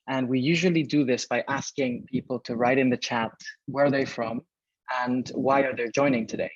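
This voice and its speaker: A man's voice: mid-range voice, fairly even intonation, moderate pace, no room sound. A man from Venezuela in his thirties, hosting a live webinar over a video call, chatty and full of fillers as he reads out chat messages. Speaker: webinar host. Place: home or office room in Berlin. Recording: dull, dry video call audio.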